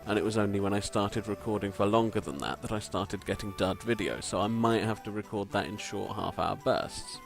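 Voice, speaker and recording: A man with a croaky voice, giving rambling, self-deprecating gameplay commentary from a home mic and swearing casually. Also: lowish voice, fairly quick, hardly any pauses, faint music behind. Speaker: let's play commentator. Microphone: home desk microphone.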